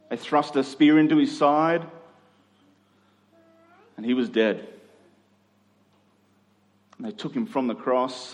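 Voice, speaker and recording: A man preaching a sermon as a pastor, swinging between emphatic and hushed delivery with rhetorical questions. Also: medium pitch. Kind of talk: sermon to a congregation. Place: large reverberant church hall. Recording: long hall reverb.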